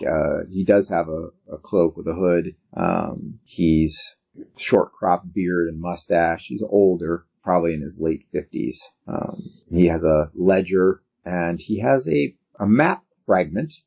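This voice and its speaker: A man working as game master, narrating a tabletop role-playing game in a halting way, thinking aloud as he goes. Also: sits low, vocal fry, a little mellow, husky. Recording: dull, lo-fi sound.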